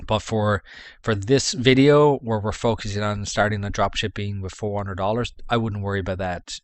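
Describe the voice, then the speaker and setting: A man's voice: on the low side, fairly even intonation, moderate pace. A man with a Canadian accent, giving rambling business advice in a casual vlog. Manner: rambling, with restarts and fillers. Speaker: dropshipping vlogger.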